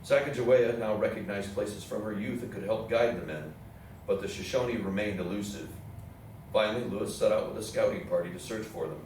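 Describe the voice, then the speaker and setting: A low-voiced man delivers scripted historical narration as a play's narrator. He is recorded from a distance in a very echoey room with faint noise. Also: brisk, a little mellow. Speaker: narrator in a readers theatre play. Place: indoor theatre room with audience program.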